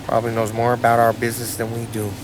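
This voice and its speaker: An actor playing a wisecracking, hot-headed 1930s American gangster in a video game, with brash, slangy, streetwise speech. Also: male voice, mid-range voice, completely flat delivery, fast, noisy background, natural voice. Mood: teasing. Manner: brash, teasing. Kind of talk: video game cutscene acting.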